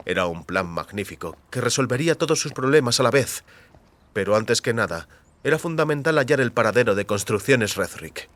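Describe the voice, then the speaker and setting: A man narrating scripted audio fiction as a storyteller, in a measured, dramatic way. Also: mid-range voice, a bit bright.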